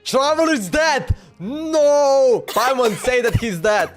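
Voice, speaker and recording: Lively woman streamer reacting casually. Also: high voice, slow pace. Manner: informal, playful reactions. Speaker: game streamer. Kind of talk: casual live reaction commentary. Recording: game music behind the voice.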